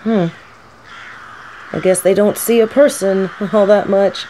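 A woman playing a gentle, scholarly female professor in an audio roleplay, speaking thoughtfully in formal words. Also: mid-range voice, fairly even intonation, takes it slowly, a little hiss or hum, lightly acted, low-quality recording. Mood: curious, mild. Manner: soft-spoken, thoughtful, formal wording.

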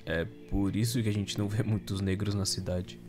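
A man playing a deep-voiced, dutiful police soldier. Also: level tone, brisk, over music, close to an everyday voice. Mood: guarded, informative. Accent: Brazilian. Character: young male police soldier, dutiful, wary, by-the-book. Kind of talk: audio drama acting.

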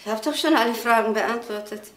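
A woman's voice: fairly high pitch, quick pace, a bit bright, a little hiss or hum, natural voice. An actress playing a guarded housewife and mother in a radio crime play, answering carefully and defensively. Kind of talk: German radio crime drama acting.

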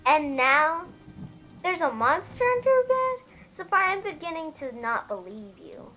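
A young adult woman acting out a family skit and bedtime story in an expressive, theatrical way. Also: very high-pitched, moderate pace. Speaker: older sister, storyteller. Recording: roomy echo, faint music behind.

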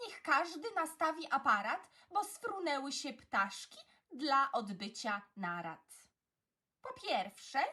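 A woman narrating a children's poem in an expressive, theatrical style with deliberate phrasing. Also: a little high, slightly rough. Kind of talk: expressive children's poetry reading.

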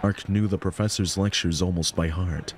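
Very deep-voiced man narrating. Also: steady tone, normal speed, a little hiss or hum. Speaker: narrator reading a visual novel aloud. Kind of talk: scripted narration with offhand asides.

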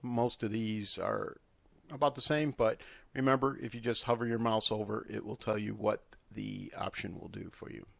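A man giving an unscripted screen-recorded software tutorial, hesitating often as he explains each step. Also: fairly low pitch, steady tone, moderate pace, gravelly, no echo.

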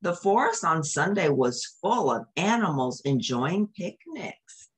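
A woman's voice: lowish voice, sing-song, takes it slowly, breathy edge. A woman reading a picture book aloud as a storyteller, expressively, with explanatory asides to young listeners.

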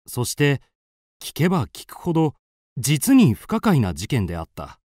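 Mellow young man narrating a mystery. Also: mid-range voice, wildly swooping pitch, moderate pace, no echo, studio quality. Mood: brooding, measured. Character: young man in his twenties, curious mystery-fan narrator. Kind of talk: radio drama narration.

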